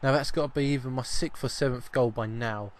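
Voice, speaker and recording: A man, slightly croaky with a cough, giving chatty football video game commentary and often repeating his first words. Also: medium pitch, fairly even intonation, brisk. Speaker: gaming channel host.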